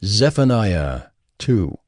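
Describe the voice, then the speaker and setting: A man giving formal, measured scripted narration of scripture as the narrator. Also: on the low side, sing-song.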